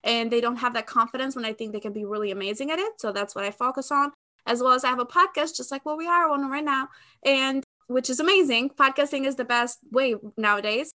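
A woman's voice: sits high, quick pace, bright, forceful tone. A woman of about thirty from Albania with an Albanian accent, chatting expressively as an interview guest. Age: around 30. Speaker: podcast guest, sales expert. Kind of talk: conversational interview answers.

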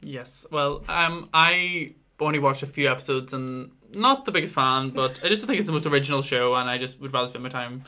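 A man's voice: mid-range voice, fairly quick, hardly any pauses, bright, forceful tone. A young man with a Northern Irish accent, hosting a podcast in a chatty, rambling, self-mocking way. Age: young adult. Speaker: podcast host. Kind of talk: casual chat.

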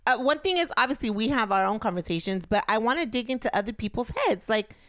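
A woman's voice: a little high, lively intonation, fast, no room sound, studio quality. A woman co-hosting a podcast in casual chat, outspoken and swearing freely, mixing in some Spanish. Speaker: podcast co-host.

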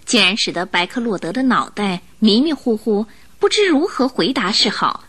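A woman narrating a Mandarin radio drama as an expressive storyteller of a detective tale. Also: medium pitch, moderate pace, husky.

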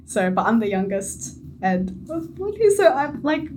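Young woman, Australian accent, higher pitch, chatty guest, lots of fillers. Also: very animated, moderate pace, darkish tone, slight background noise. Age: young adult. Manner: giggly, apologetic, many fillers. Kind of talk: casual interview chat.

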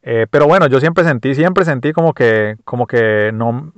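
A man's voice: medium pitch, normal speed, dry recording, lo-fi sound. A man with a Colombian accent hosting a podcast, talking casually and reflectively with many fillers and restarts. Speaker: podcast host. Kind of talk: casual personal monologue.

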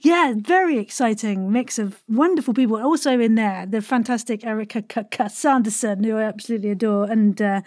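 A woman's voice: a little high, fairly quick, hardly any pauses, darkish tone, no room sound, clean studio sound. A woman hosting a podcast in a playful, effusive, chatty way. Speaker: podcast host.

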